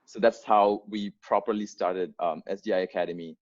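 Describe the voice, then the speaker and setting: A young Bangladeshi-born man with a lowish voice speaks earnestly, often restarting his sentences. His English carries a first-language influence. The sound is muffled and lo-fi, like video call audio. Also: fairly even intonation, moderate pace. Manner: earnest, frequent fillers and restarts. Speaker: founder giving an onboarding talk. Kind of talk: unscripted presentation to volunteers.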